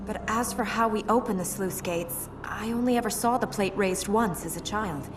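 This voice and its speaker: An actress playing a dry, determined young princess in a video game, terse and matter-of-fact. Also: female voice, mid-range voice, normal speed, background noise, lightly acted. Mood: earnest, matter-of-fact. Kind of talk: video game cutscene acting. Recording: distant voice under music.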